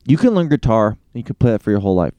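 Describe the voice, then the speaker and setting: Comedian man, casual podcast banter, clear smooth voice, dry room. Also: on the low side, sing-song, racing, dark tone, no room sound, highs cut off. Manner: joking, slips into silly put-on voices. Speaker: podcast co-host.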